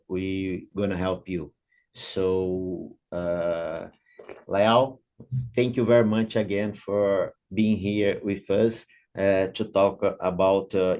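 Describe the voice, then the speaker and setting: A man with a Brazilian Portuguese accent opens an online business event in English. His voice sits very low and he moves slowly, stopping often on filler sounds. It comes through a video call. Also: darkish tone. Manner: halting, many filler pauses. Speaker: event host and committee coordinator.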